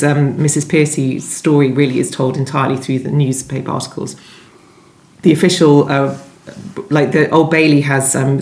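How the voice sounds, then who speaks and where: A woman's voice: sits low, vocal fry. A woman speaking conversationally as an interviewed novelist, in a discursive way, often interrupting and rephrasing herself. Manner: discursive, self-interrupting, hedging with "sort of". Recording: echoey room.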